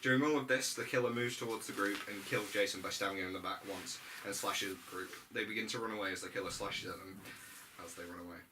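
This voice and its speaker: A man's voice: lowish voice, steady tone, quick pace. A man reading stage directions aloud at an amateur script read-through, sometimes stumbling over the text. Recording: distant mic, faint room noise.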